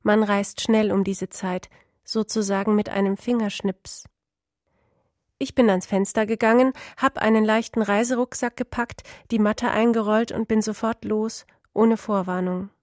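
A woman's voice: mid-range voice, fairly even intonation, measured pace, darkish tone, no room sound, clean studio sound. A woman author reading her own literary prose in a narrative style.

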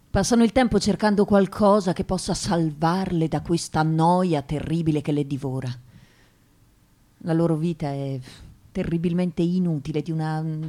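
A woman's voice: lowish voice, dark tone, natural voice. An actress playing an educated, disdainful young woman of a fallen aristocratic family, choosing her words precisely in a radio play. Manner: measured, precise, deliberate wording. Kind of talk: theatrical radio drama monologue.

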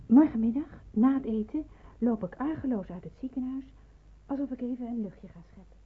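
An actress playing an anxious young nurse in a wartime radio play, hesitant and murmuring to herself. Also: female voice, a little high, fairly quick, slightly rough. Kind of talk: Dutch radio play acting. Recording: noisy narrow-band old radio play recording.

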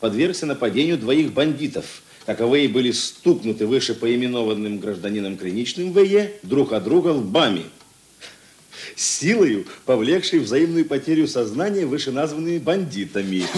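An actor playing a seasoned police investigator in a radio drama, gruff but talkative, with a husky voice and halting, conversational delivery. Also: male voice, mid-range voice, lively intonation, darkish tone. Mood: eager storytelling. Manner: musing, halting, conversational asides. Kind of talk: Russian radio drama dialogue.

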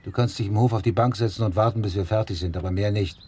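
An actor playing a stern, weary police detective in a crime radio play, terse and brooding. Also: male voice, on the low side, steady tone, fast, dark tone, breathy edge. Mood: stern, curt. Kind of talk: German radio drama acting, inner monologue.

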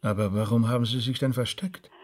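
A man plays a courteous, puzzled listener in a radio drama. His voice is husky and mid-pitched, and he asks his questions with polite hesitation. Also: fairly even intonation, fairly quick, darkish tone, natural voice. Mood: pensive, curious. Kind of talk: radio play acting.

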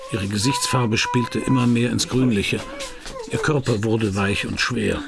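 An actor playing a cool, laconic Paris private detective in a German radio crime drama. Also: male voice, fairly low pitch, creaky. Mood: grim. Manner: laconic, dry. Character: cool, hard-boiled male Paris private detective narrating his case. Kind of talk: German radio crime drama, first-person narration and dialogue.

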